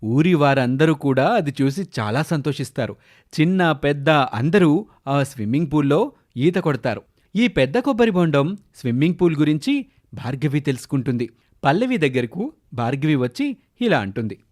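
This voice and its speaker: Animated high-pitched male storyteller. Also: moderate pace. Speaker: storyteller narrator. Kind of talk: scripted children's story narration.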